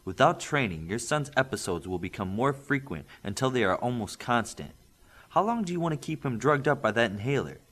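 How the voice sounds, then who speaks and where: Man voicing a businesslike thirties woman, formal and measured. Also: mid-range voice, very animated, a bit bright. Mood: serious, firm. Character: businesslike, polite, firm official woman in her thirties.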